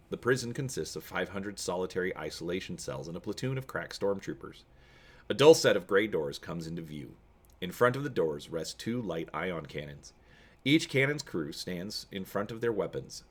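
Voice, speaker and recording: A man giving a dramatic narrated reading of an adventure story, expressive in his storytelling. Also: low voice. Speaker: reader and host of a gamebook read-through.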